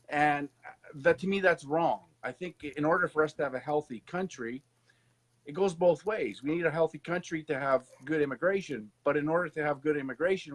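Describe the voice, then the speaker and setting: A man interviewing a guest in a conversational interview, emphatic and opinionated. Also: on the high side.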